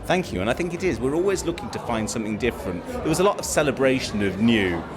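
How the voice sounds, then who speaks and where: A man, a theatre producer, talking in an interview with warm enthusiasm. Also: mid-range voice, animated, fast, noisy background. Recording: loud crowd around. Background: crowd chatter.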